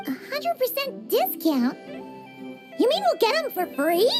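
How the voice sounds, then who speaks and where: A woman voicing a tiny excitable female floating companion in a video game, chirpy and quick to exclaim, with game music behind her. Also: very high voice, heavily acted. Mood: excited. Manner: chirpy, quick to exclaim, talks about herself by name.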